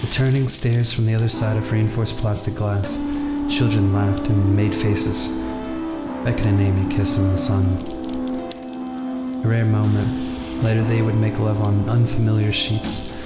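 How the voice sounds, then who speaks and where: Guy with a lowish flat voice reading a noir story, echoey room, loud music behind. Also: a little slow. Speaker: gaming video host. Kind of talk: reading visual novel text aloud, noir narration. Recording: roomy echo under loud music. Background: loud game music with guitar.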